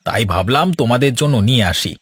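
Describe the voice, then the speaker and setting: Cartoon farm-labourer husband, male actor: mild, weary, placid, mid-pitched. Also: animated, fast talker, fairly bright tone, husky. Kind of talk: cartoon voice acting.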